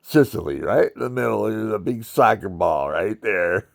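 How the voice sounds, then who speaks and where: A man's voice: on the low side, lively intonation, normal speed, gravelly. An older man telling personal stories in a rambling monologue, talking straight to his viewers and acting out what others said. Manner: chatty, talks to the viewers, acts out quoted voices. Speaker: storyteller.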